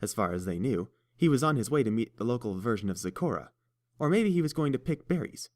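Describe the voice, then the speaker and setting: A man narrating a fan-fiction storybook in a steady, measured way. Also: medium pitch. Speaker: audiobook reader.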